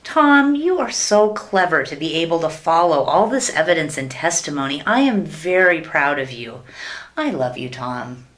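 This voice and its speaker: An actress playing a sweet, naive, adoring wife who asks guileless questions in a sitcom-style radio play. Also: female voice, low-pitched, very animated, moderate pace, fairly bright tone. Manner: innocent, earnest, guileless questioning. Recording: noisy track with clicks.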